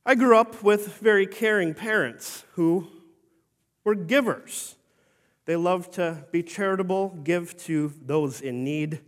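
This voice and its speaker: Old humble bishop, stern underneath, slow and formal, played by a man in a church monologue, echoing hall. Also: sits high. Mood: solemn. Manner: slow, solemn storytelling with formal phrasing. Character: Saint Nicholas, elderly bishop, humble and stern. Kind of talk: first-person dramatic monologue.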